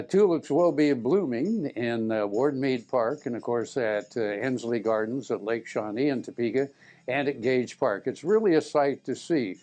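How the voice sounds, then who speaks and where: A man's voice: mid-range voice, a little mellow. An American man chatting casually as a show host, in a folksy, conversational way. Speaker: TV show host and internet radio DJ. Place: radio studio.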